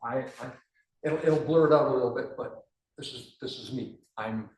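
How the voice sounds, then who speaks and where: An earnest man with a baritone voice speaks straight to the camera as a coach, at a middle pitch. He talks directly and repeats key phrases to drive them home. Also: normal speed, darkish tone, breathy edge, poor audio. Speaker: men's coach and show host. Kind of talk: motivational self-help talk to camera.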